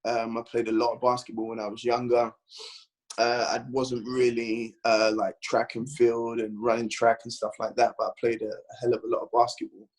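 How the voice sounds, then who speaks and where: A man from London with a London accent, a guest bodybuilder chatting on a podcast, rambling and animated as he tells his story. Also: medium pitch, moderate pace, poor audio. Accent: London English. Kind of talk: casual podcast chat.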